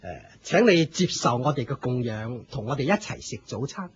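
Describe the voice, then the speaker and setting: Proud jealous cult master, hong kong cantonese radio play, husky, swoops all over. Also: male voice, mid-range voice, sing-song, moderate pace. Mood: courteous. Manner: pompous, theatrical, shifting from courteous to scornful. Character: proud, jealous male leader of a fire-worship sect. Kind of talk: Cantonese radio drama acting. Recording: dull lo-fi radio drama recording.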